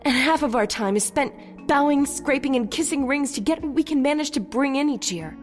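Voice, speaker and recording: A woman's voice: high voice, a little fast, faint music behind, lightly acted. A woman playing a stern, overworked deputy mayor in an audio drama, firm and formal. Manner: firm, formal, clipped authority. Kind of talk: fan-made audio drama.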